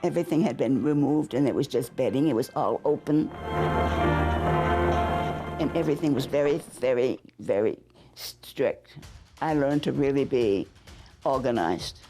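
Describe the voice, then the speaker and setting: A woman's voice: rumbling low, normal speed, pauses often, over loud music. A woman in her nineties with a New England accent, telling her own stories in a chatty interview. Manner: chatty storytelling with asides. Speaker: veteran interviewee. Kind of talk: reminiscing in an interview.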